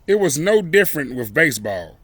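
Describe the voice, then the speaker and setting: A man reading a children's story aloud expressively, with deliberate, emphatic phrasing and dramatic pauses. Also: fairly high pitch, very animated, fairly bright tone, no room sound. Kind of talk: expressive read-aloud storytelling with brief asides.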